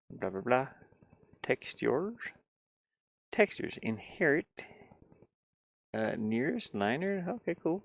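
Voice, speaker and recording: A man's voice: mid-range voice, creaky. A man chatting casually on a livestream through a headset, thinking aloud in a rambling, musing way and often questioning himself. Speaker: live streamer learning game design.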